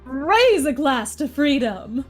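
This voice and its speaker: A woman's voice: high voice, sing-song, slow, full character voice. A woman voicing a confident, flirty cartoon bat, teasing and theatrical as she reads lyrics. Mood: dramatic. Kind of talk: playful character reading of musical lyrics. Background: music.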